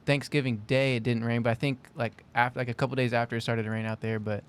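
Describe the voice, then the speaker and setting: Smooth-voiced, easygoing male co-host. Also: medium pitch, fast talker. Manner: relaxed and easygoing, with frequent restarts. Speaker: podcast co-host. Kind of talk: casual chat.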